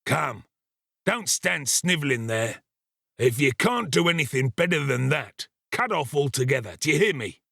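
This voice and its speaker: A man voicing a savage, sick, ill-tempered criminal in an audiobook, with a gruff, growling, impatient delivery. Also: on the high side, big pitch swings, normal speed, fairly bright tone, no room sound, clean studio sound, pitched above the performer's own voice, exaggerated voice. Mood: bullying. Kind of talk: dramatised audiobook reading of a classic novel.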